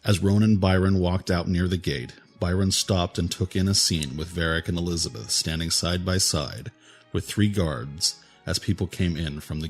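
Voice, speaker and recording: A man narrating an audio drama in a measured, even storytelling manner. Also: deep voice, husky. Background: music score.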